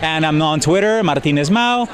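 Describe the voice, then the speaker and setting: A man's voice: fairly high pitch, lively intonation, normal speed. A young man from Mexico, a singer at a gala, talking bubbly and effusive in a red-carpet interview outdoors. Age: young adult. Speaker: performer at the gala. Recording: loud crowd chatter behind.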